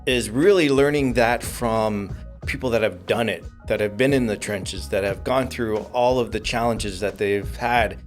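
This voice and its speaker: A man hosting a business podcast, introducing his guest in an earnest, measured way with frequent fillers. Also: lowish voice, faint music behind. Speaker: podcast host. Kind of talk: interview hosting.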